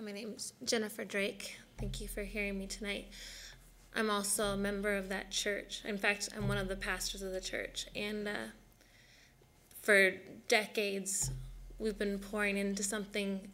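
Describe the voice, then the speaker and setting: A woman pastor giving a steady, earnest public comment at a city council meeting. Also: mid-range voice.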